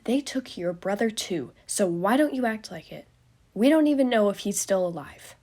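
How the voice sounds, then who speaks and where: A woman's voice: medium pitch, expressive. A woman reading a frantic anxious young man. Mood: angry. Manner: panicked rapid questions, rising to shouting.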